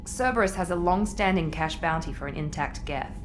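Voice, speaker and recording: A woman's voice: fairly low pitch, fairly quick, faint music behind, close to an everyday voice. A woman playing an assured, formal operative officer in a video game, speaking in a controlled, matter-of-fact way. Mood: cool, insistent. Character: cool, assured female loyalist operative officer. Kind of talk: video game cutscene dialogue.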